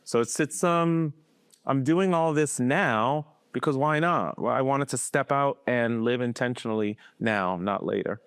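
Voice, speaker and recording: Male guest, 50s, from Boston; measured storyteller, smooth tone, mid pitch. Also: a bit bright, dry recording, studio quality. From Boston, USA. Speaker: podcast guest. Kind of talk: casual conversational interview.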